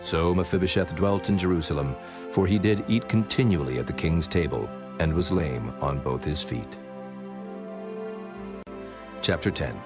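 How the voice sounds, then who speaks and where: A man narrating scripture from a script in a solemn, measured manner. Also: deep voice. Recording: music bed under the voice.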